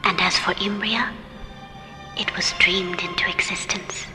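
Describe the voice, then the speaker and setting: A serene alien mystic for a radio sci-fi drama. She is breathy and solemn, measured like a keeper of old legends, floating in long reverb over music. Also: fairly low pitch, fairly even intonation, bright, forceful tone. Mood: solemn, mystical. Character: serene, mystical blind alien woman, grave storyteller. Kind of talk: old-time radio science fiction acting.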